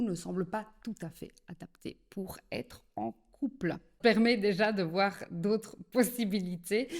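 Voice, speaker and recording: A woman with a Belgian French accent talks to the viewer in a friendly, conversational way, as if sharing a personal story. Her voice sits in an ordinary middle pitch. She keeps tying her points together with small spoken connectors. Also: darkish tone. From French-speaking southern Belgium. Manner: chatty, addresses the viewer directly, many "eh bien" and "alors". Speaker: psychogenealogy video creator. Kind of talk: casual explanatory talk to camera.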